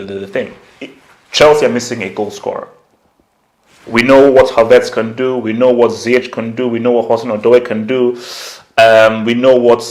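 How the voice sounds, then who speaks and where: A man giving casual, opinionated football commentary as a vlog host, slangy and conversational, repeating points for emphasis. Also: mid-range voice. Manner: slangy, conversational, emphatic repetition, acts out imagined quotes. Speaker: football YouTuber, channel host.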